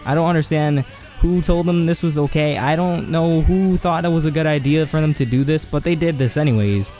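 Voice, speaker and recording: A man giving a casual anime commentary, rambling and slangy with lots of filler words, his voice hoarse and cracking. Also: a little high, brisk, mellow, soft background music. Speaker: video essay host.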